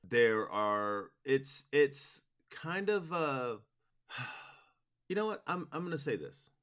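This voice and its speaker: A man's voice: mid-range voice, big pitch swings, takes it slowly, fairly bright tone. A man talking casually and enthusiastically as an interview guest about his work, rambling in an informal way. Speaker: interview guest, audio drama producer.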